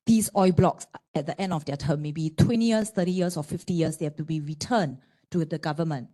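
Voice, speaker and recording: A woman speaking on a panel to a live audience, with a loud voice and a chatty manner, often checking in with "right?". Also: on the low side. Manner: chatty, keeps asking "right?". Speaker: panelist, disputes lawyer.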